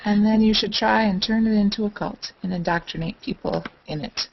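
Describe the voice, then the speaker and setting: A woman's voice: medium pitch, steady tone, fairly quick, gravelly, slightly rough. A woman chatting casually as a panel guest on a video call, with dry, mock-formal humour. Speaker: panel guest in an online hangout. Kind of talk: casual chat. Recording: dull, slightly noisy web call audio.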